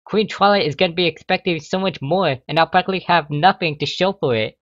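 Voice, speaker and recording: A man's voice: on the high side, fast talker, a bit bright, no echo, dull audio, voice pushed up, natural voice. A man voicing an anxious, whiny young female unicorn student who pleads and protests to her mother in a story reading. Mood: panicked.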